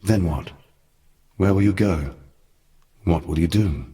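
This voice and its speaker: A low-pitched, noble god from a fantasy game. He speaks gravely and in lofty, measured phrases, like a mentor guiding mortals. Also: noisy background. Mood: forgiving, earnest. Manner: solemn, measured, lofty archaic speech. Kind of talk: dramatic fantasy game voice acting.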